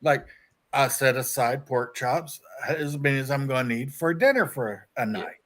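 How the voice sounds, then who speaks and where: A man's voice: mid-range voice, animated, normal speed, fairly bright tone. A man in a casual group chat, explaining things in a plain, matter-of-fact way.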